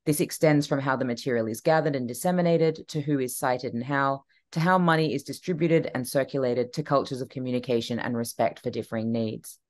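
A speaker delivers a prepared talk remotely over a video call, reading in a measured, steady way. The voice sits at a middle pitch. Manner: measured, reads from script. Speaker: keynote speaker. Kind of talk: read talk.

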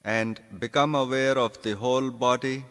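A man giving guided meditation instructions as a yoga nidra teacher, speaking deliberately in short phrases with pauses. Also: medium pitch, steady tone, fairly bright tone. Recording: long reverberant hall echo.

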